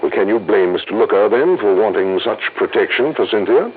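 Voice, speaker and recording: Man as fussy insurance official, animated old-radio voice. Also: on the low side, a little fast, narrow, tinny audio, close to an everyday voice. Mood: flustered. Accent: American. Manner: formal, precise, hesitant. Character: fussy, anxious male insurance company official. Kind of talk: 1950s American radio drama acting. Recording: thin, hissy old radio recording.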